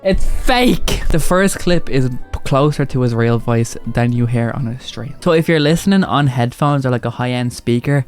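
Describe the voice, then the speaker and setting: Guy doing youtube commentary, voice bounces up a lot when he stresses stuff, faint music behind. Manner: animated, jumps up in pitch for emphasis. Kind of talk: casual video commentary.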